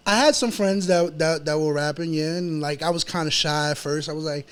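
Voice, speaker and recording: A man's voice: on the high side, a little fast, clear, resonant tone. A man in his early thirties from New York, with a New York accent, chatting casually as a rapper being interviewed, slangy and peppering his talk with "you know". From Chelsea, Manhattan, New York, USA.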